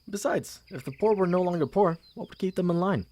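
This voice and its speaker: A man playing a smug, condescending young prince with a pompous, smooth manner in an ASMR fantasy audio roleplay. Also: sits high, lightly acted. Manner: smooth, pompous, theatrically expressive.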